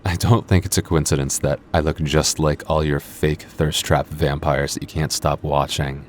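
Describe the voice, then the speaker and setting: A man's voice: rumbling low, level tone, moderate pace, slight background noise. A man playing a cocky, moody male vampire coworker in an intimate ASMR roleplay, teasing in a dry, flirty way. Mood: defensive, smug. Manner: smug, flirty, dry teasing with sighs and chuckles. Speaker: voice actor in audio roleplay.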